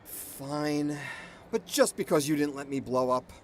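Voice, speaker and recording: A man's voice: a little high, unhurried, slight background noise, lightly acted. A man playing a grumpy, sarcastic loner of a monster hunter in an audio drama. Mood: grudging.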